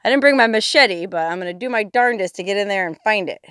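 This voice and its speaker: A woman in her thirties, excitable and easily amazed, narrating as she explores outdoors and inside an old mine, talking into a handheld camera. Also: medium pitch, fast talker, background noise.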